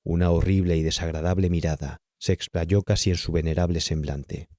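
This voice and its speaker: A man's voice: low-pitched, fairly even intonation, fairly quick, mellow, no echo. A man with a Castilian Spanish accent reading a literary horror tale as an audiobook narrator, close to the microphone. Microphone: close, dry studio-style microphone.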